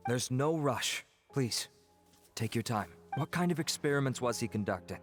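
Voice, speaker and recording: A man voicing a polite, composed and helpful official in a video game, speaking in a courteous, measured way. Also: medium pitch, animated, soft background music, lightly acted.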